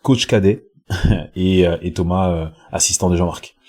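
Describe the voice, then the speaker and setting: Very deep dark husky male voice. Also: fairly even intonation, unhurried. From Congo. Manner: hesitant, many fillers and repeats, laughs easily. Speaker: professional basketball player, podcast guest. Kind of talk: casual interview talk. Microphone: home podcast mic with headset.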